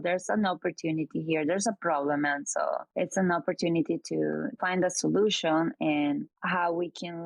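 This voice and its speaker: A woman's voice: lowish voice, no echo, poor audio. A woman from Peru, a startup co-founder, answering interview questions earnestly and often using "like" as filler.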